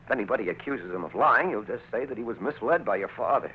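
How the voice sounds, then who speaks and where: A man's voice: lowish voice, animated, fast talker, lightly acted. An actor playing an earnest, decent young newspaperman in 1940s radio drama style. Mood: subdued concern. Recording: tinny, noisy old radio recording.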